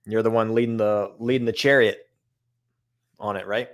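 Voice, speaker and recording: A man co-hosting a podcast with a raspy voice, chatty and loose, repeating himself as he riffs. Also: fairly low pitch, fairly even intonation, normal speed. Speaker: podcast co-host. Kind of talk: casual podcast conversation.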